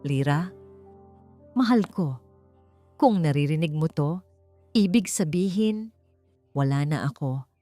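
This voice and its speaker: A weary, frightened husband in an audiobook drama, acted by a woman. He speaks in a hushed, tender way, as if leaving a last message, with music underneath. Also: low-pitched, expressive, takes it slowly, pauses often, no room sound. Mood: weary, grave.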